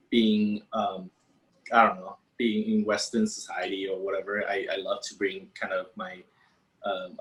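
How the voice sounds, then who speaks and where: A man's voice: fairly low pitch, a bit bright, poor audio. A man from Vietnam, a special effects artist hesitantly answering interview questions and searching for words.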